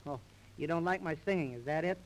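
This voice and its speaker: High-pitched American man, 1930s radio comic. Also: fast talker, low-quality recording. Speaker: comedian and singer in a duo. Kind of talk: 1930s radio comedy banter and novelty song. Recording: old 1930s radio transcription disc, loud music.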